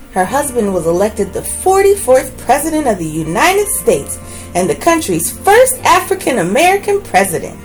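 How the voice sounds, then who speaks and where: A woman reading a children's book aloud as host, with expressive, deliberate phrasing and brief asides. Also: medium pitch.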